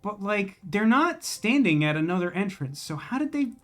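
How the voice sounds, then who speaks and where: A man's voice: high voice, very animated, normal speed, a bit bright. A man doing casual live video game commentary, rambling and wry as he thinks aloud and chats with viewers.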